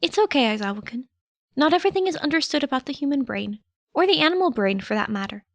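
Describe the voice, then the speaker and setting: Woman voicing a high, prim little animal mentor. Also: expressive, fast, no room sound. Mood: reassuring. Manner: prim, reassuring, fussy. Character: small chatty animal principal, male, gently soothing. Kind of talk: audiobook character voice.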